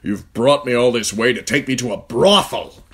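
Stern honorable lord, blunt and earnest, quick to flare up, read by a male narrator, audiobook. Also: deep voice, slightly rough.

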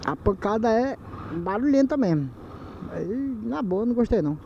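A man's voice: high voice, lively intonation, normal speed, gravelly. A man from Manaus with a Brazilian Portuguese accent, chatting casually in slang to his viewers while riding a motorcycle at night, recorded on a helmet camera mic. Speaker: motovlogger. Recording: dull helmet-cam audio with loud wind and engine noise.

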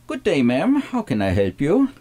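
A man playing a cold, curt, officious government clerk in a radio play, with a husky voice. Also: fairly high pitch, wildly swooping pitch, normal speed, mellow. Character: cold, officious Nazi-era city hall clerk.

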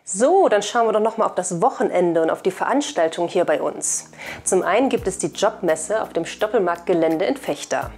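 A woman's voice: on the low side, animated, normal speed, darkish tone. A woman in her thirties with a German accent presents scripted local news as the host of a weekly video bulletin. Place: indoor video studio. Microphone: lapel mic.

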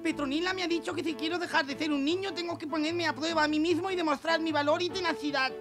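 An excitable little gnome boy for a cartoon. The voice is extremely high, squeaky and childlike, full of clumsy eagerness. Loud music plays right behind it. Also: fast talker. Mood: eager, pleading. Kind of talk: cartoon dub acting.

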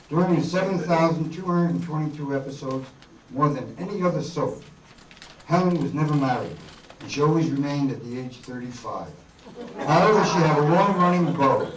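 Older guy reading an intro, highish voice, echoey and distant. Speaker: presenter. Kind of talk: scripted introduction read aloud. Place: community meeting room before an audience. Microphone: handheld PA mic, picked up by camera. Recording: echoey room, distant camera mic, hiss.

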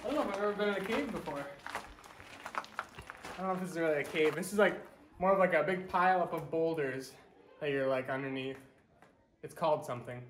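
A man's voice: a little high, fairly quick, long pauses, noisy background. A man in his twenties, a travel vlogger narrating and chatting casually about a hike. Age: 20s. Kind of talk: hiking vlog narration and casual chat.

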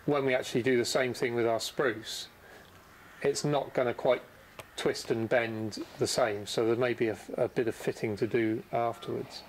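A middle-aged man calmly explaining how to steam wooden planks as he works in a boatbuilding workshop. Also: medium pitch, moderate pace, a little hiss or hum. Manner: easygoing, practical explaining with restarts. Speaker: boatbuilding instructor. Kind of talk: hands-on workshop demonstration.

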